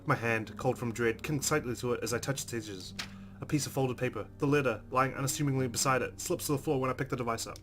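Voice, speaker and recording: A man's voice: mid-range voice, fast talker. A man hosting a let's play, reading visual novel narration aloud in a dramatic way. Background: game music.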